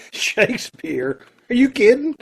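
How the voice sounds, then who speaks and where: A man's voice: high voice, sing-song, takes it slowly, creaky, husky, exaggerated voice. A man playing a guarded, world-weary, wry prisoner with a curt, casual manner in an amateur radio play. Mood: wry, dismissive. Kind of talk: amateur old-time radio play acting.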